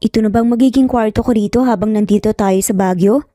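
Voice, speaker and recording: A woman's voice: medium pitch, quick pace, dark tone, no echo, clean studio sound, lightly acted. A Filipino woman voicing a sassy, playful young woman in love with cheeky, bantering delivery. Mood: puzzled. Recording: music behind the voice.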